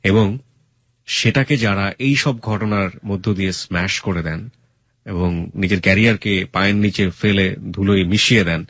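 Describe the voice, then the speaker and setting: Male radio host, Bangladeshi accent, low husky voice, frank and admonishing, English phrases mixed in. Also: normal speed, a bit bright, no echo, dull audio. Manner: blunt, admonishing, emphatic; mixes English words and phrases into speech. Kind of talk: radio life-story interview, giving frank advice.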